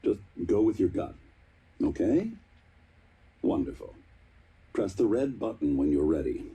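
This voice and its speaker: A man voicing an upbeat, fussing scientist who runs tests in a video game, with an encouraging, halting, politely apologetic manner. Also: lowish voice, sing-song, warm tone, a little hiss or hum. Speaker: doctor, test administrator.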